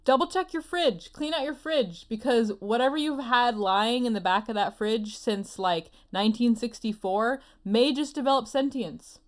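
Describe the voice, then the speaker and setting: A woman's voice: a little high, normal speed, hardly any pauses. Wry, playful woman co-hosting a podcast. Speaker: podcast co-host. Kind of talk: casual joking chat.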